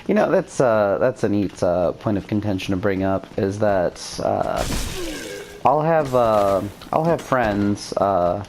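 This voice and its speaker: A woman's voice: a bass voice, sing-song, slow pace, a little mellow, a little hiss or hum. A woman hosting a gameplay video, talking in casual, rambling asides as she plays.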